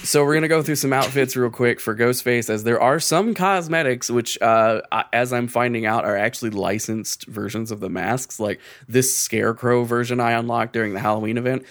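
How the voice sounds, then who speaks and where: A man's voice: mid-range voice, fairly quick, few pauses, a bit bright, no room sound. A man hosting a casual commentary on a video game, joking and swearing as he goes. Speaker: podcast host.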